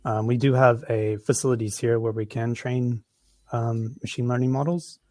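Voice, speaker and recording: A man's voice: medium pitch, fairly even intonation, normal speed, darkish tone, no room sound. A man with an American accent answering audience questions after a conference talk, with lots of ums and restarts. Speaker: conference presenter.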